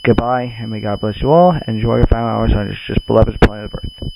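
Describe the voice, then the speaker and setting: A man playing a grave government spokesman in a doomsday radio drama, giving a solemn emergency address in a halting, repetitive way. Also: on the low side, vocal fry, a little mellow, a little hiss or hum, poor audio. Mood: resigned, solemn. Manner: halting, stumbling, repeats himself. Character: grave government spokesman announcing the end of the world.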